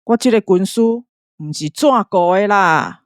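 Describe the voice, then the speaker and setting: A woman's voice: mid-range voice, measured pace, no room sound, clean studio sound, lightly acted. A woman voicing a cocky, boastful young male strategist in a radio drama, with a Taiwanese accent. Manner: boastful, swaggering.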